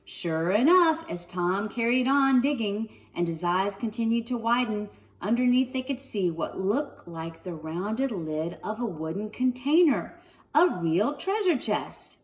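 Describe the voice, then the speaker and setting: A woman narrates a children's book in an expressive storytelling style at a medium pitch. She slows for dramatic phrases and sits a little way from the mic. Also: recorded from a distance. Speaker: storybook reader. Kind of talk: children's read-aloud narration with casual asides. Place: sunroom at home.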